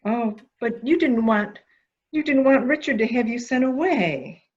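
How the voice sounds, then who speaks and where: Woman acting a slow, coaxing, calculating lady. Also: on the high side, highs cut off, lightly acted. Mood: coaxing, goading. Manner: coaxing, measured, deliberate. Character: calculating woman coaxing a dangerous childlike man. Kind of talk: amateur radio-play acting.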